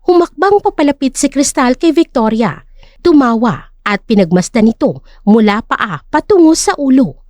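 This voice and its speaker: A woman's voice: sits high, big pitch swings, normal speed, darkish tone, no echo. A woman narrator reading a scripted dramatic story.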